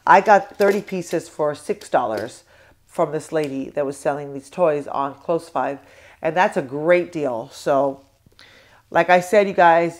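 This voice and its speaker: This is a young American mother with a cold, her voice sitting low and a little congested. She chats to the camera in a loose, rambling way, full of ums and restarts as she shows off toys at home. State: congested with a cold, sore throat. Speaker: toy review vlogger. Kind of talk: casual chat to camera.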